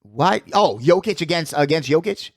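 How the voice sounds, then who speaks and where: High-voiced man talking sports, dry sound. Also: normal speed, no room sound. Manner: animated, slangy, repeats phrases for emphasis. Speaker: sports podcast host. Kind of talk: casual sports commentary and playoff predictions.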